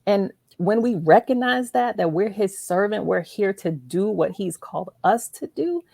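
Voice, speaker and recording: Female host, low creaky register, emphatic and encouraging, faith-based interview delivery, dry sound. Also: expressive, normal speed, warm tone, no echo. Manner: encouraging, emphatic, checks in with "right?" and "okay?". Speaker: show host interviewing an author.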